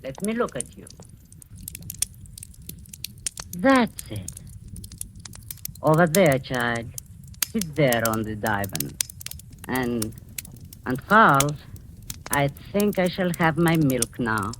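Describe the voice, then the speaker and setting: A woman's voice: very deep voice, sing-song, slow pace, pauses often, heavily acted. An actress playing a domineering, sinisterly sweet woman of sixty in 1940s radio style, coaxing and commanding. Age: 60s. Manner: coaxing, commanding, with soft knowing chuckles. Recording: noisy old 1940s radio recording.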